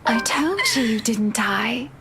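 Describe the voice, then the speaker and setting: A woman playing an eerily calm, sweetly taunting wife in a horror game, with a condescending manner. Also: fairly high pitch, slow, heavy background noise. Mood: cold, mocking.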